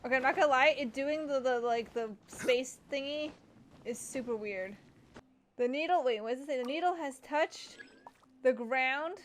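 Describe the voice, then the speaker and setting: A woman's voice: high-pitched, normal speed, slight background noise. A woman giving casual, reactive commentary while playing a game, rambling and thinking aloud as she goes. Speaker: gamer streaming a playthrough.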